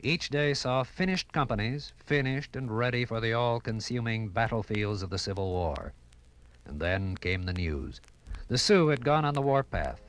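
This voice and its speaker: A man's voice: medium pitch, lively intonation, normal speed, poor audio. A man delivering dramatic scripted narration in a 1950s radio style. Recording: 1950s radio recording, faint noise.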